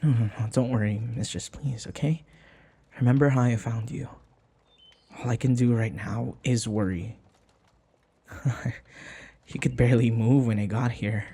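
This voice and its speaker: Guy playing a devoted young servant in an audio roleplay, slow and husky, halting, tender n pleading w his mistress. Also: medium pitch, fairly even intonation. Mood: relieved, anxious. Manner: soft, halting, tender, pleading, dropping to whispers. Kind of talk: intimate audio roleplay acting.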